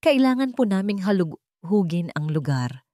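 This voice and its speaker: A woman's voice: mid-range voice, very animated, moderate pace, warm tone, no room sound, studio quality, lightly acted. A Filipino-accented woman voicing a cold, businesslike policeman in a story, formal, polite and unyielding. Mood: official, firm.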